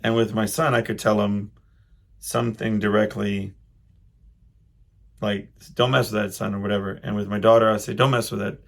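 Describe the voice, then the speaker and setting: Creaky-voiced middle-aged man, rambling thoughtfully. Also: fairly low pitch, little pitch movement. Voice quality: loud voice. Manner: rambling, halting, thinking aloud. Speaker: podcast host. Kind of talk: casual solo monologue, personal reflection.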